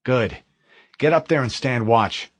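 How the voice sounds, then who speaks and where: A man's voice: mid-range voice, lively intonation, slow, voice pushed up. A man reading an audiobook, voicing a tense young male marine corporal who speaks in an urgent, strained way. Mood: weary.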